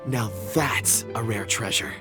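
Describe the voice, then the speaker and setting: An actor playing a genial, courteous wandering rock expert in an anime game, eloquent and theatrical. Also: male voice, lowish voice, measured pace, natural voice. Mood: impressed. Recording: music behind the voice.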